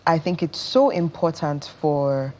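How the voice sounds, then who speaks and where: A woman with a Nigerian accent speaking as a television interview guest in an earnest, deliberate way. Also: low voice, dark tone, slight background noise. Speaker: interview guest, stage performer.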